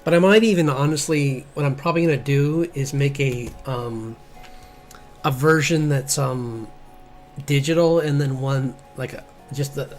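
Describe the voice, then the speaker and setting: A man streaming as he draws, chatting casually in a halting, rambling way as an artist. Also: mid-range voice. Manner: halting, rambling, chuckling asides. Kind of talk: casual livestream chat while drawing. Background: background music.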